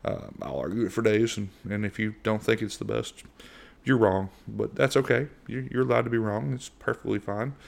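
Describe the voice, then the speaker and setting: Low gravelly monotone American man. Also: moderate pace, hardly any pauses. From United States. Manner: rambling, with frequent fillers and restarts. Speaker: podcast host. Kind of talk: casual solo podcast monologue.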